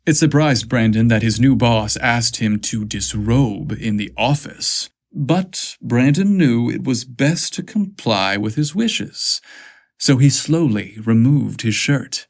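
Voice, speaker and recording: Slow-paced male story narrator. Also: mid-range voice, expressive. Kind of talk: scripted narration of erotic romance fiction.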